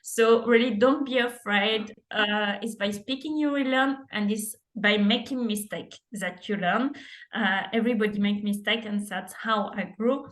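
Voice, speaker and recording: A woman with a strong French accent talks slowly and unhurriedly as an interview guest. Her speech is hesitant, padded with many fillers and small laughs. She is heard over a video call. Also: mid-range voice.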